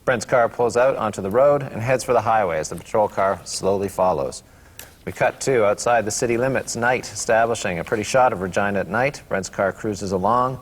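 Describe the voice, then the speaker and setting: A man's voice: on the low side, brisk. Man reading stage directions, echoey room. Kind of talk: scripted narration at a table read. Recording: reverberant room, faint background noise.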